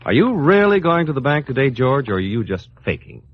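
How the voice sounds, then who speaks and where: A man playing a breezy, boastful bachelor playboy in a 1940s radio comedy, with husky, jaunty, self-satisfied wisecracking. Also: mid-range voice, very animated, brisk, a little hiss or hum, lightly acted. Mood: teasing, breezy. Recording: muffled old radio recording.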